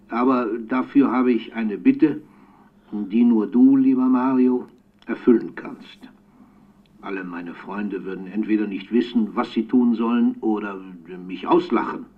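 An actor playing an elderly, melancholic poet who records a tender letter on tape for a radio play, savouring his words with pauses. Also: mid-range voice. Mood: tender, pleading. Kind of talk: radio drama monologue as spoken letter. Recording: thin, tinny phone-like tape sound.

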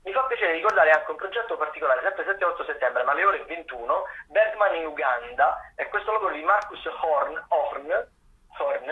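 Male radio correspondent, slightly high register, halting improvised listings delivery, heard through a phone connection. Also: animated. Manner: hesitant, searching for words, repeating himself. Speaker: theatre correspondent on a radio show. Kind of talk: spoken event listings, semi-improvised. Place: calling in to a radio programme by phone. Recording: narrow, tinny phone line.

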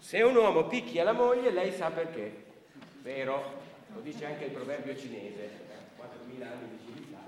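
A man's voice: mid-range voice, animated, moderate pace, natural voice. A man playing a smug chauvinist in a stage monologue, justifying himself and prodding with rhetorical questions. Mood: insistent, self-righteous. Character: smug chauvinist man defending husbands' rule over wives. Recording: distant echoey hall recording, faint noise.

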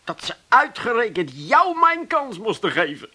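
A man's voice: extremely high pitch, very animated, a little slow, sharp tone, husky, exaggerated voice. A man playing a nervous, hapless, whining amateur in a radio comedy, flustered, stammering and plaintive. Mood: wounded. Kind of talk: 1980s radio comedy acting.

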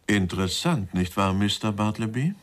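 An actor playing a probing, matter-of-fact police inspector in a radio crime drama, questioning in a businesslike way. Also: male voice, fairly low pitch, lively intonation, normal speed, lightly acted.

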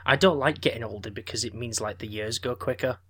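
A man's voice: medium pitch, fast, sharp tone, slightly rough. A man around thirty, co-hosting a podcast in casual chat, joking and swearing freely. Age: 30s. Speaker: podcast co-host.

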